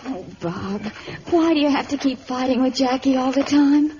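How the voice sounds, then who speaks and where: An actress playing a spirited, sharp-tongued young woman on safari in an old radio adventure serial. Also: high voice, normal speed, close to an everyday voice. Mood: bickering. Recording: muffled old radio recording, clear background noise.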